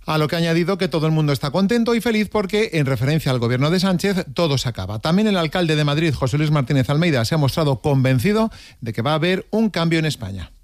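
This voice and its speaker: A man from delivering a brisk political news report. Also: fairly high pitch, expressive. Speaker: political correspondent.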